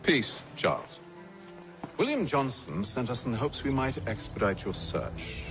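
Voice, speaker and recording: An actor playing a composed English gentleman in a video game, speaking formally and with measured authority. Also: mid-range voice, big pitch swings. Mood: calm, commanding. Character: composed, commanding English gentleman leading armed men. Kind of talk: video game cutscene acting. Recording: loud background music.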